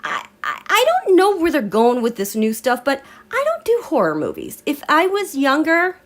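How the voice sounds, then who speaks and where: A woman's voice: high-pitched, moderate pace. A woman hosting a live tarot and astrology stream, chatting casually with a congested voice as she recovers from illness. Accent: American. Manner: chatty, rambling, animated asides. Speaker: tarot and astrology livestream host.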